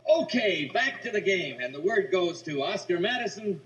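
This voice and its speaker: A man's voice: high-pitched, animated, normal speed, fairly bright tone, slight background noise. A man playing an upbeat game show host in a sitcom, on old television audio. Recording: muffled, echoey 1970s television audio.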